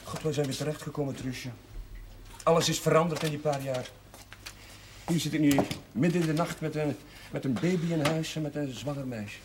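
An actor playing a flustered, caring young chaplain in a television drama, talking in an agitated, halting way. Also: male voice, a little high, natural voice. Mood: troubled, indignant. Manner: agitated, halting, repeating himself. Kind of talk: naturalistic television drama acting. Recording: noisy, hissy old television soundtrack.

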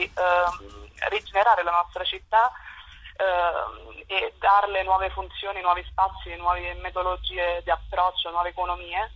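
A woman's voice: fairly low pitch, fairly bright tone. A young woman speaking as an interview guest over a telephone connection, explaining things informally. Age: young adult. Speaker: youth group spokesperson, interview guest. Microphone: phone call. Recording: muffled, rough telephone line.

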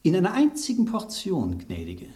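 A smug, teasing gentleman holds forth in a radio drama. His delivery is theatrical and highly animated, savouring every bit of suspense. The room rings slightly around him. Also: normal speed, echoey room. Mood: gleefully suspenseful. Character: smug, teasing gentleman narrating a culinary revenge intrigue.

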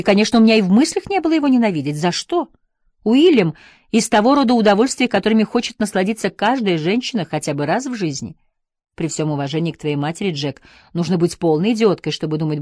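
A woman's voice: on the low side, darkish tone, no room sound, studio quality. A woman narrator voicing a passionate, nostalgic former schoolteacher who swings between wistfulness and scolding. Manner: impassioned, by turns wistful and scolding. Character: passionate middle-aged woman, former teacher, fond and scornful.

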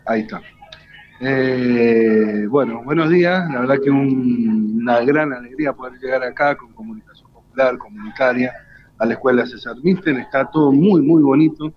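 A man's voice: medium pitch, moderate pace, highs cut off. A man with an Argentine accent presenting live on radio as a co-host, unscripted and often repeating his words.

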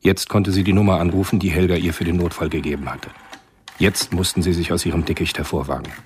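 A man narrating a radio drama from a script in a measured, sober storytelling manner. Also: sits low, fairly even intonation, a little hiss or hum.

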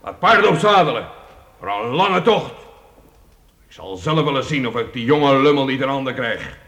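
A man's voice: medium pitch, animated, moderate pace, a bit bright. An actor playing a domineering medieval knight who barks orders and scorns his servant in a youth radio drama. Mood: scheming.

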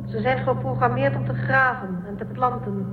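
A woman playing a calm, dutiful ship's intelligence in a science fiction radio play, precise, detached and formal. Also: sits high, moderate pace, background noise, lightly acted. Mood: formal, firm. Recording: echoey tinny audio over loud music.

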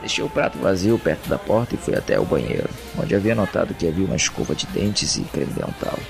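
Deep gravelly Brazilian captive, brooding man acting. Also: big pitch swings, quick pace, music in the background, full character voice. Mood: wary. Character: captive man, introspective, uneasy, reasoning through his confinement. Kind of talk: first-person audio drama narration.

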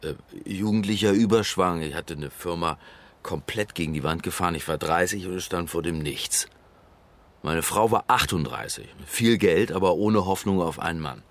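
An actor playing a self-righteous, pompous middle-aged politician in a radio drama, husky-voiced and forever justifying himself. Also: male voice, low voice, normal speed.

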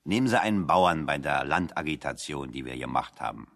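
An actor playing a plain-spoken, unschooled working-class political exile in a radio play, with a folksy, drily humorous manner. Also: male voice, rumbling low, lively intonation, fairly quick, gravelly, fairly bright tone, full character voice. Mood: folksy, wry.

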